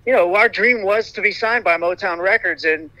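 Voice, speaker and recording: A middle-aged man talks casually as an interview guest. His voice sits high and sounds very bright and cutting, and it stays light all the way through. The recording is dry and close, with no room sound behind him. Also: normal speed, dry recording, low-quality recording. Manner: rambling, many "you know" fillers and restarts. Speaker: interview guest, singer in a vocal group.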